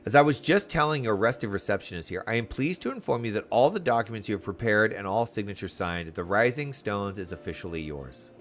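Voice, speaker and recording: A man's voice: lowish voice, animated, brisk, hardly any pauses, a bit bright, faint music behind. Man reading as a courtly, curious fantasy townsman. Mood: pleased, formal. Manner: courtly, old-fashioned, chatty. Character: genial, curious male official in a fantasy town.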